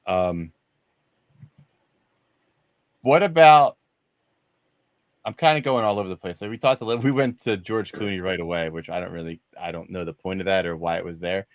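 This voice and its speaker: A man's voice: low-pitched, fairly quick, no echo. A man hosting a casual panel discussion about films, talking in a rambling way and often changing tack mid-sentence. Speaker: host of a movie discussion show.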